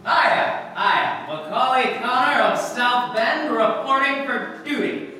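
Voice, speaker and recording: An actor playing a chummy, tipsy party guest in a live radio drama, rambling and repeating himself in a drawl. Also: male voice, very high voice, slow pace, bright, forceful tone, exaggerated voice. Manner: rambling, repetitive drunken drawl. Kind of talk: live old-time radio stage acting. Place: live stage performance in a hall. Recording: very echoey hall, distant microphone, background noise.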